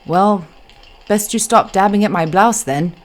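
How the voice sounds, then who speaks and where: A woman voicing a crisp, playful British woman in an audiobook, politely insistent. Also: medium pitch, measured pace, lightly acted. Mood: polite, firm. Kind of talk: audiobook character dialogue.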